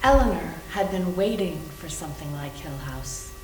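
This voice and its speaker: A woman's voice: low voice, lively intonation, moderate pace. A woman giving a scripted dramatic reading of a novel in a readers theater. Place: indoor room, live library reading. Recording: echoey room with faint hum.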